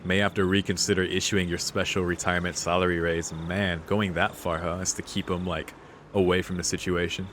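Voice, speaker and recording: A man's voice: deep voice, level tone, brisk, fairly bright tone, slight background noise. A man doing casual let's play commentary in a laid-back, slangy way. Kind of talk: casual gaming commentary, reading subtitles aloud. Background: game music.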